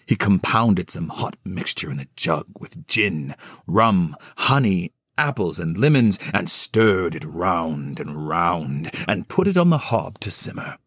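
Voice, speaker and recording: A man giving theatrical storybook narration, expressive and dramatic with measured phrasing. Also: deep voice, breathy edge, dry recording, clean studio sound. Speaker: narrator and solo voice actor.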